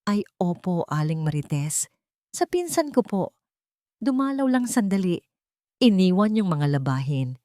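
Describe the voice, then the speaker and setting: A woman's voice: lowish voice, very animated, unhurried, pauses often, dry recording, studio quality. A woman voicing a shy young housemaid. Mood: nervous. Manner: soft, respectful and deferential.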